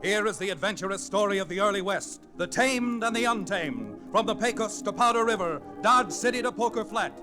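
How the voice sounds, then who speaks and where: A man's voice: high-pitched, lively intonation, brisk, few pauses, rough recording. An American man announcing in a dramatic, declamatory 1940s radio style. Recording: restored vintage radio recording. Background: loud theme music.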